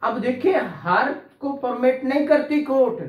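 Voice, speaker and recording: A man's voice: very high-pitched, unhurried, roomy sound. A man teaching law to a class, quizzing students, repeating key terms and praising answers. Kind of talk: classroom law lecture with questions.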